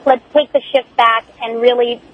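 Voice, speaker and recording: A woman's voice: a little high, steady tone, a little hiss or hum. An American woman calling a radio show, speaking firmly and measuredly. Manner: firm, measured, indignant. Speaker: caller. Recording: muffled phone line.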